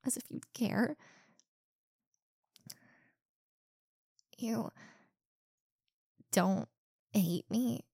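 A young woman playing a rude, insecure popular college girl in a one-sided roleplay, sharp-tongued and defensive, stumbling over her words. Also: a little high, extremely slow, vocal fry, slightly rough, no echo, studio quality, exaggerated voice. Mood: soft, sheepish. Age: young adult.

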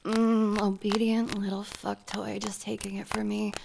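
A dominant, teasing female boss played by a woman in an intimate audio roleplay for adults. Her voice is gravelly with fry and she takes her time, breathing audibly and slipping toward whispers. Clear noise sits behind her. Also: mid-range voice, flat delivery, measured pace. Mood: stern, teasing. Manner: breathy delivery with sighs and drops into whispers.

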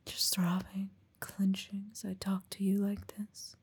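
A woman whispering slowly and teasingly into a close mic, in an affectionate, intimate roleplay. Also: mid-range voice, monotone, darkish tone, slightly rough. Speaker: audio roleplay performer.

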